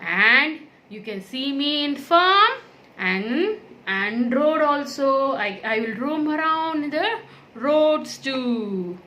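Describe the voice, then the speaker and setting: Woman voicing a high, bright, cheerful cow puppet. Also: animated, slow, slight background noise, full character voice. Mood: cheerful, proud. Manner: eager, simple short sentences with repetition. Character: cheerful friendly female cow puppet for small children. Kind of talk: children's educational puppet show.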